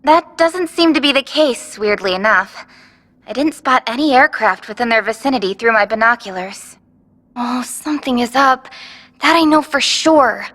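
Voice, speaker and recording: Bright, high-voiced young lookout. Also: female voice, normal speed, big-room sound. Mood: concerned. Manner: casual, friendly. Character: friendly young woman lookout ally, watchful. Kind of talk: anime-style video game voice acting.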